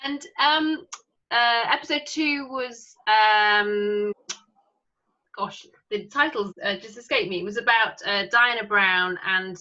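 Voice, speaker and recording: Female interviewer, bright tone, unhurried and hesitant, casual chat, dull lo-fi sound. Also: mid-range voice. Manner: hesitant, halting.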